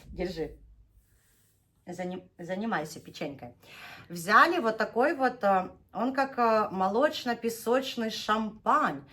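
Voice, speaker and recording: A woman's voice: mid-range voice, animated, takes it slowly, sharp tone. A young woman hosting a knitting vlog, chatting casually and gushing over yarn, laughing often and drawing out her words when she names colors. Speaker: knitting vlogger showing yarn. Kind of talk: casual unboxing chat.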